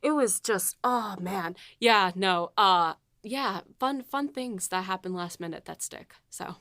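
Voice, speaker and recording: A woman's voice: medium pitch, measured pace, bright, forceful tone, no echo, clean studio sound. A woman writer co-hosting a casual behind-the-scenes talk, rambling with lots of fillers and laughs. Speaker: writer and podcast co-host.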